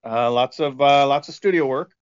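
A man being interviewed as a sound engineer, explaining in a conversational, halting way with lots of "you know". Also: mid-range voice, clean tone. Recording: dull, lo-fi band-limited sound.

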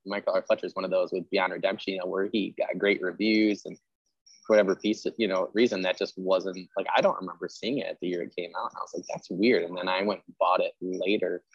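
A man with a deep, creaky voice hosts a loose, informal interview. He rambles in a self-deprecating way, like someone thinking aloud. The sound is dry and slightly muffled, with rough fry in his tone. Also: fairly even intonation, fast talker, dry recording. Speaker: podcast host interviewing an author. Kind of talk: casual, rambling interview chat.

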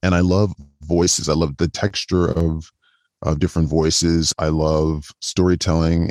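A man with a voice modulated lower, chatting as a podcast guest in a calm, inviting way, often restarting his sentences. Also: no room sound, studio quality. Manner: chatty, with frequent restarts and repetitions. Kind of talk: casual interview chat.

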